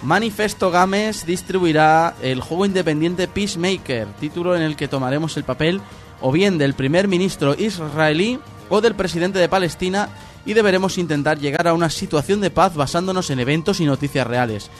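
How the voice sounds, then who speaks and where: A man's voice: on the high side, moderate pace, soft background music. Man reading news in a dry tone. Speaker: radio co-host. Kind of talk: reading news, casual banter.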